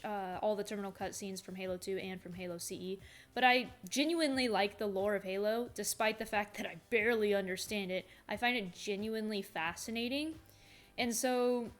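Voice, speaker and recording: A woman giving casual live reaction commentary as a video host, musing aloud and asking herself questions. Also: mid-range voice.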